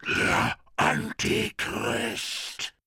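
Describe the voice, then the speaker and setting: An actor playing a grand, familiar composer with a slow, weighty manner in a radio play. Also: male voice, full character voice. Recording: long reverb, loud guitar music.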